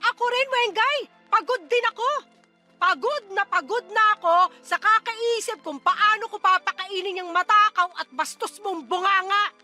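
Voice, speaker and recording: Woman as a worn-out nagging mother, very high voice, sarcastic, scolding, radio drama. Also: normal speed, pushed and bright, faint music behind, exaggerated voice. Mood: angry, exasperated. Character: bitter, nagging, exhausted poor mother. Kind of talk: radio-style audio drama.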